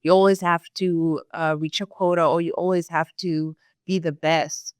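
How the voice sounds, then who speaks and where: Measured low-voiced woman from Zimbabwe. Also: little pitch movement, no echo, studio quality. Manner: thoughtful, measured, with fillers. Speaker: sales employee, guest. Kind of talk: casual interview answers.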